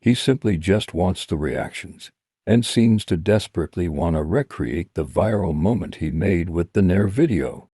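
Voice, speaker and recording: A man reading a scripted commentary voice-over in a measured, critical tone. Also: deep voice, dark tone, breathy edge, dry recording, clean studio sound. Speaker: commentary channel host.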